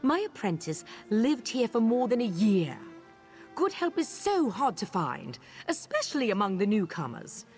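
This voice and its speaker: An actress playing a haughty, impatient high elf artist in a video game, fussy and precise. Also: female voice, wildly swooping pitch, normal speed, darkish tone. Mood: aggrieved. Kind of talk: video game character dialogue. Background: game music.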